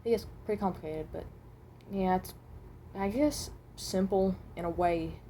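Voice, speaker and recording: A woman voicing a weary, self-loathing animatronic rabbit, halting and often trailing off, in an amateur improvised monologue. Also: fairly low pitch, mellow, a little hiss or hum, natural voice. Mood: hesitant, awkward.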